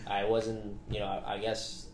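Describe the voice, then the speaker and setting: A man's voice: lowish voice, measured pace, a loud crowd. A man in his twenties from Venezuela, a native Spanish speaker with fluent American-sounding English, chatting casually as a guest artist. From Venezuela, moved to Florida around fifteen. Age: 20s. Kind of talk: casual chat. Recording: echoey room with steady noise.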